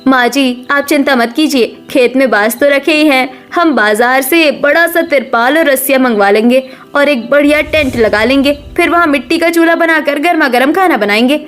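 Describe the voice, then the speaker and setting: A woman's voice: sits high, moderate pace, few pauses, a bit bright, soft background music. A woman voicing a caring, eager young daughter-in-law in a cartoon village story. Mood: eager, helpful. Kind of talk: Hindi cartoon story dialogue.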